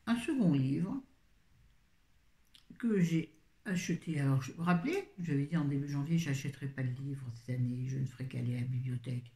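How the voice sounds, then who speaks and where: Chatty rambling woman, mellow and roomy. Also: rumbling low, brisk. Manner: rambling, chatty, self-interrupting. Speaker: book vlogger. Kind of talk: casual book review chat. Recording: roomy echo.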